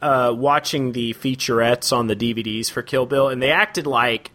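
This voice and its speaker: A man co-hosting a podcast, talking about films in an animated, opinionated way, often laughing and acting out quotes. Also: mid-range voice, moderate pace. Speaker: podcast co-host. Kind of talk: casual film discussion.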